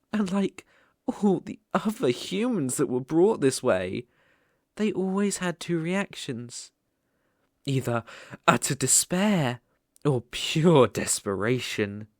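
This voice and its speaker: A sadistic demon lord with a British accent, acted out for an ASMR roleplay, speaking slowly and condescendingly. The intonation swoops theatrically with mockery and disdain. It is recorded close and dry. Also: medium pitch, no room sound. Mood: cruel mockery. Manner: mocking, condescending, theatrical, drawn-out delivery. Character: sadistic, haughty demon lord sneering at human sacrifices.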